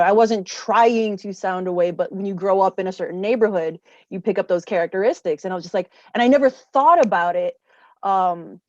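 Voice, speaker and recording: A woman's voice: medium pitch, a little mellow, no room sound, lo-fi sound. A woman from Chicago, an author interviewing a guest in a casual, hesitant way with many fillers. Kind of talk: conversational interview.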